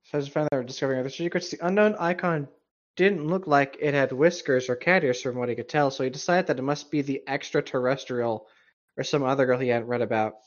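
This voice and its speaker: A man's voice: mid-range voice, quick pace. Sarcastic man, slightly muffled sound. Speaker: co-host reading a story aloud with commentary. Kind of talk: casual reading with dry commentary.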